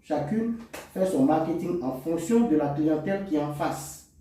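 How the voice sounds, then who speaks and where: A man's voice: a little high, expressive, moderate pace, warm tone. A man with an African French accent explaining products in an informal, didactic lesson with emphatic delivery. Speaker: cosmetics chemist and instructor. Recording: echoey room, distant microphone.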